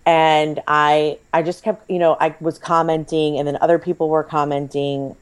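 A woman, likely in her thirties, chatting casually as a podcast co-host, her voice slightly hoarse and froggy, rambling and self-deprecating. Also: low voice, level tone, normal speed.

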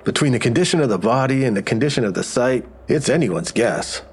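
An actor playing a wry, matter-of-fact medical examiner who explains with morbid humor in an audio drama. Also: male voice, medium pitch, animated, a little fast, husky.

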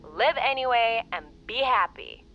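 A woman's voice: a little high, animated, a little slow. An actress playing a blunt, encouraging female confidante in an audio drama, heard through a phone-like filter. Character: blunt, life-affirming female confidante giving advice. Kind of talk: audio fiction acting. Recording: dull band-limited sound, faint music.

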